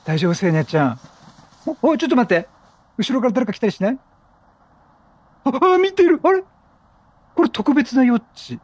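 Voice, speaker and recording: Animated higher-voiced gamer man, husky edge. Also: moderate pace, pauses often, no room sound. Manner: animated, talkative, exclaims and talks back to the game. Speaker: gaming YouTuber. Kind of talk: chatty video game let's play commentary. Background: game music.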